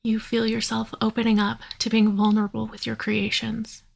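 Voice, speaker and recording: A woman leading a guided yoga nidra meditation in a soothing, unhurried manner, leaving long pauses. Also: on the high side, monotone, creaky, slight background noise.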